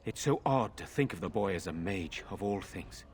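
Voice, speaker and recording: A grave nobleman from a fantasy video game. His voice sits on the low side and is noticeably husky. He speaks formally and with measured care, as one who rules for his brother. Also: animated, soft background music, full character voice.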